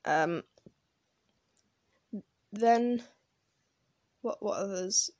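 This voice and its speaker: A woman who grew up in France speaks slowly and unhurriedly into her phone, her voice sitting at a middle pitch. She rambles a little, pausing and reaching for her words as she goes, and the sound is dry and close with no room around it. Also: darkish tone, no room sound. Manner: hesitant, rambling, many pauses and fillers. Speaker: vlogger. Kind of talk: casual personal talk to camera.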